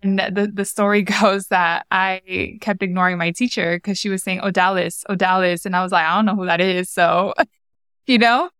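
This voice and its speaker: A young woman hosting a podcast, chatting casually and often reacting with soft exclamations. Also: medium pitch, steady tone, normal speed, smooth, no room sound, studio quality. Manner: warm, reacts often with "wow". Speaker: podcast host. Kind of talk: casual conversation.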